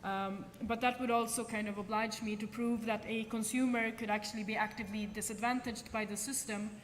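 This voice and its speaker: A woman's voice: on the high side, fairly even intonation, a little fast. A woman with a slightly raised voice fields questions after a conference talk, hedging and restarting as she thinks things through. Her words carry a long reverb from a large lecture hall. A faint hiss of noise sits underneath. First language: Swedish. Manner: thinks aloud with frequent ums and restarts. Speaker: conference speaker.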